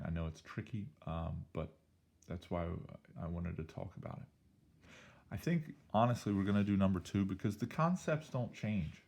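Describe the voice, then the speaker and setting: A male math teacher, slightly hoarse, talking through an algebra problem in an upbeat, playful way with jokey exclamations. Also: sits low, a little mellow. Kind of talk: recorded algebra lesson walkthrough.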